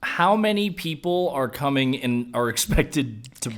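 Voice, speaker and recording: A man's voice: medium pitch, expressive, a little slow. A man co-hosting a podcast, chatting casually and teasing his friends in a conversational way. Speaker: podcast co-host. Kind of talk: casual banter.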